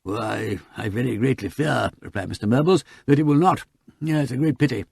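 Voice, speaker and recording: A man's voice: medium pitch, moderate pace, gravelly, a little mellow, husky, no echo, studio quality. A man voicing a courteous, old-fashioned elderly English solicitor with a hesitant, precise, formal way of talking in an audiobook. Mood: musing, wistful.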